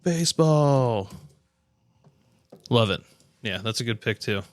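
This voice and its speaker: A man's voice: mid-range voice, lively intonation, slow. A man hosting a casual sports podcast, bantering bluntly and teasing, with some swearing. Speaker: podcast host.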